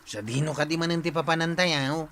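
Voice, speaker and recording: An actor with an Ilocano accent playing a cautious nephew who gives careful warnings in a radio drama. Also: male voice, a little high, fairly quick, fairly bright tone, a little hiss or hum, lightly acted. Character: cautious young male nephew. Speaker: captain's nephew.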